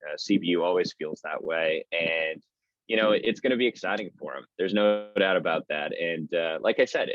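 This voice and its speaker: A man with a fairly low voice talks sports in a conversational, analytical way, like a play-by-play announcer being interviewed. The sound is dry and a bit dull, with a lo-fi edge. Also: fairly bright tone, no room sound. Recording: slightly dull lo-fi audio.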